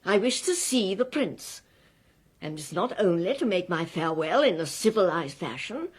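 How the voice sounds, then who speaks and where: An actress playing an earnest, naive English governess with a British accent in a radio drama, genteel and insistent in manner. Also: female voice, lowish voice, very animated, moderate pace, slightly rough. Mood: indignant, hurt.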